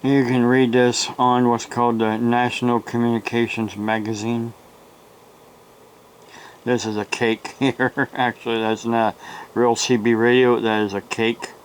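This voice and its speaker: An American man hosting an informal, unscripted hobby vlog, talking in a halting, rambling way with long pauses. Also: mid-range voice, level tone, slight background noise, low-quality recording. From United States. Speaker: YouTube radio hobby host.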